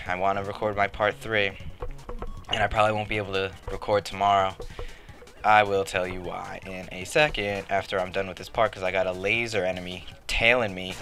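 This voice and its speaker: A young man giving rambling, joking commentary while playing a game, his voice a little raspy. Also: low-pitched, sharp tone. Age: late teens to young adult. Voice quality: slightly raspy. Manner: joking, rambling, self-mocking. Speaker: let's play commentator. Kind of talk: casual gameplay commentary. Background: game music.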